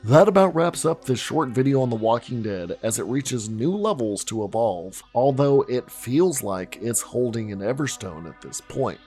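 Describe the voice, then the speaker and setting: Hoarse male host, raspy and blunt, mid-pitched, delivering sardonic commentary over light music. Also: lively intonation, moderate pace, few pauses, a little mellow. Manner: blunt, sardonic, swears for emphasis. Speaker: video essay host. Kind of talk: scripted commentary.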